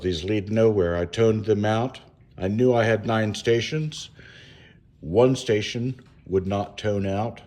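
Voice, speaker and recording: A man giving a casual, unscripted hands-on walkthrough at home, speaking haltingly with frequent pauses mid-sentence. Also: fairly low pitch, darkish tone. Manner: halting, hesitant, pausing often mid-sentence. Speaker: home DIY reviewer. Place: indoors at home.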